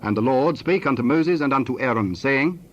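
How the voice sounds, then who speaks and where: A man narrating scripture from a script in a formal, measured storytelling manner. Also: medium pitch, animated. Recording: dull sound with faint background noise.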